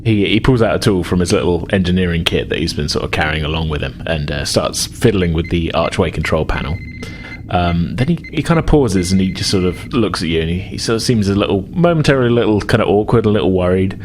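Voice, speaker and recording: A man with a low voice narrates a tabletop game as game master. He improvises his descriptions in halting pieces, and there is clear noise behind him on the recording.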